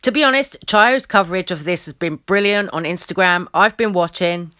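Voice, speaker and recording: A woman with a clear, resonant voice on the lower side delivers opinionated commentary as a host. She speaks conversationally, with emphatic points aimed straight at the viewer. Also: moderate pace, pushed and bright.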